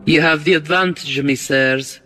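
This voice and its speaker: A man's voice: a little high, unhurried, sharp tone, soft background music. A man voicing a cautious, grizzled Scottish police detective in an audiobook, with a Scottish accent and a dry, guarded manner.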